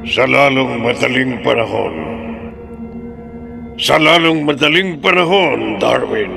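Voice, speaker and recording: An actor playing a sinister otherworldly master in a horror radio drama, gravelly, slow and grandiose as he gloats. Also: mid-range voice, animated, full character voice. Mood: pleased, commanding. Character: sinister, powerful otherworldly master tempting a gambler. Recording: long cavernous reverb.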